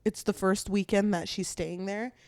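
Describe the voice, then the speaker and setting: Woman, podcast co-host, casual chat, mid-pitched voice, animated retelling, giggly, salty language. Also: normal speed, no echo. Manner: animated retelling with acted-out quotes; giggly, swears freely. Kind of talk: casual chatty book discussion.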